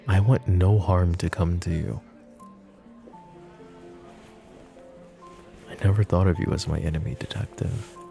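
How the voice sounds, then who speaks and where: A man playing a flirty, possessive mafia boss in a roleplay ASMR drama, smooth-talking, teasing and protective. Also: low-pitched, a little mellow. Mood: earnest, frustrated. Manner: smooth-talking, teasing, pet names, sighs.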